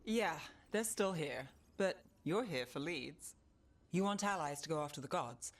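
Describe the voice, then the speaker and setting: A woman's voice: low voice, animated, a little slow, exaggerated voice. An actress voicing a confident female detective in a video game, businesslike and clipped. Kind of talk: video game dialogue acting.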